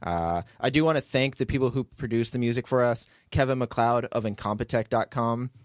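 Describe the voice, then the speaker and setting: A man's voice: mid-range voice, a little fast, no echo, studio quality. A man hosting a podcast, chatting casually and explaining things in a rambling way as he plugs shows. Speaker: podcast host and producer.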